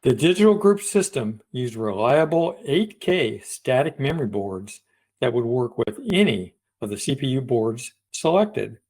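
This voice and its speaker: Man narrating an audiobook, medium pitch. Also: animated, measured pace, darkish tone. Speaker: audiobook reader.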